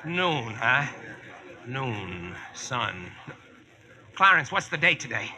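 A man's voice: mid-range voice, sing-song, slow pace, pushed and bright, slight background noise, exaggerated voice. A man acting a brash, slangy modern American stranded at King Arthur's court in a 1940s radio drama. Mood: scheming, brash. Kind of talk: 1940s radio acting with first-person narration. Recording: vintage 1940s radio recording, music behind.

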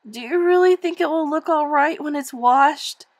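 Woman doing a high teen girl voice, upset, crying-ish, loud-ish. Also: normal speed, no room sound, lightly acted. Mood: pleading. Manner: pleading, on the edge of sobbing. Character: upset teenage girl, tearful and self-conscious.

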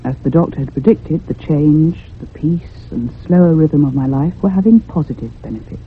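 An actress playing a curious, insistent convalescent lady who narrates in a measured literary way in a radio drama. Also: sits low, a little hiss or hum, close to an everyday voice. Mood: reflective, measured. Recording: muffled, phone-like old radio sound.

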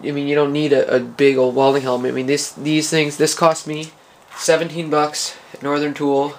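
A man casually talking through welding gear in a garage workshop, relaxed, with lots of uh fillers. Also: a little high, moderate pace, slight background noise. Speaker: hobby welder showing gear. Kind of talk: casual how-to vlog. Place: garage workshop with concrete floor.

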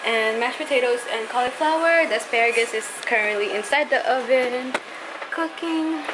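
A woman's voice: on the high side, normal speed. A young woman chatting casually to camera in a home vlog, rambling with fillers. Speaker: family vlogger. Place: home kitchen and outdoor patio. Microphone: handheld camera at arm's length. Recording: noisy home video audio.

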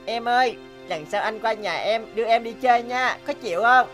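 A woman's voice: on the high side, moderate pace, a bit bright, faint music behind, close to an everyday voice. A woman voicing a polite, earnest and slightly wary young schoolboy in a cartoon. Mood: playful, affectionate. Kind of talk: cartoon voice acting.

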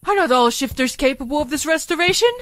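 A woman playing a manic, obsessively curious military scientist in an audio drama, with an excitable, theatrical delivery. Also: high voice, fast talker, dry recording, clean studio sound. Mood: probing. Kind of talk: amateur audio drama acting. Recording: dramatic music under the voice.

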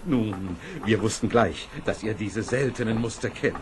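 An actor playing a sly swindler posing as a weaver, flattering and falsely humble in a fairy-tale audio drama. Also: male voice, on the low side, a little slow, close to an everyday voice. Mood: ingratiating. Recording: noisy old cassette.

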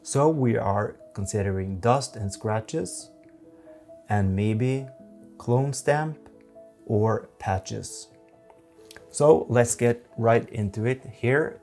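A man in his forties with a Norwegian accent, giving a software tutorial in a methodical, halting way. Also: medium pitch, soft background music. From Norway. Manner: slow, methodical, halting explanations. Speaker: photography instructor.

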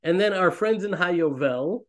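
A man in his thirties, a rabbi hosting an online fellowship, talking in a loose, rambling, animated way on a webcam from a small room. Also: high-pitched, unhurried. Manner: rambling, animated, self-interrupting. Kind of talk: casual online talk to a community. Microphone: webcam on a video call.

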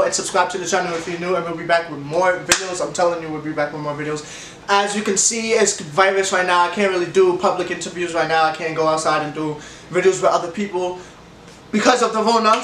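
A young man with a stuffy nose, telling a rambling casual story time to camera, animated and laughing often. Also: sits high, quick pace, slight background noise. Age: young adult. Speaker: vlogger. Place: room with leather couch, indoors. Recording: echoey room, camera a bit far.

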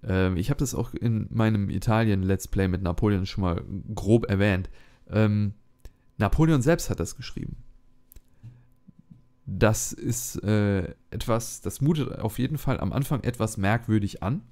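A man's voice: on the low side, a little slow, a little mellow. A man hosting a let's play, chatting casually and thinking aloud with many fillers. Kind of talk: casual gameplay commentary.

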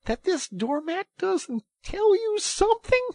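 A narrator voicing an excitable rat from a storybook, brisk and eager, kindly but easily exasperated. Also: medium pitch, husky, no room sound, pitched above the performer's own voice, exaggerated voice. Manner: eager, urgent, exclamatory. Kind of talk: animated storybook character reading.

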